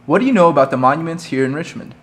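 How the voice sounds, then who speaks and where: A man conducting a street interview, asking brisk, prepared questions. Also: on the high side, animated, fairly bright tone.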